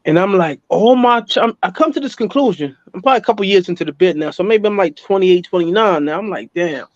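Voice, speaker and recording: An American man being interviewed, telling his life story casually in animated street slang, repeating phrases for emphasis. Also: sits high, quick pace, no echo, highs cut off.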